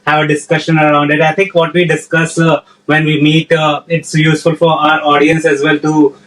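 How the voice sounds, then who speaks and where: Somewhat high-voiced hesitant male host. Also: monotone, moderate pace, a bit bright, poor audio. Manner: hesitant, many "uh" and "like" fillers. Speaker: podcast host. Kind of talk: casual interview conversation. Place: office.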